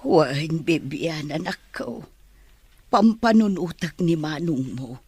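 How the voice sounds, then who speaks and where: Aging radio-drama mother: unhurried, husky, dry close sound, grieving and resigned. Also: low-pitched, animated, a little mellow, no room sound, heavily acted. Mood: sorrowful, worried. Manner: sighing, sorrowful. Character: weary aging mother grieving over her wayward son.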